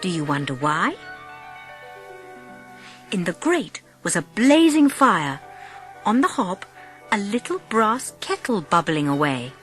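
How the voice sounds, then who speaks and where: A woman narrates a dramatised story in a mid-pitched voice. Her intonation swoops expressively through carefully measured phrases, with music playing underneath. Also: sing-song, a bit bright. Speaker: audiobook narrator.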